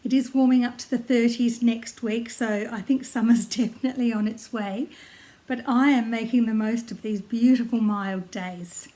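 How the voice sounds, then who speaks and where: An older woman with an Australian accent talks in a friendly, rambling way, pausing on ums and laughing softly now and then. Her voice sits a little high and sounds a touch croaky today. She is recorded close up on a tablet in her living room. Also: fairly even intonation, rough recording. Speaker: knitting vlogger. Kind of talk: casual chat to camera. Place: at home in her lounge room, seated. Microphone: tablet camera close by.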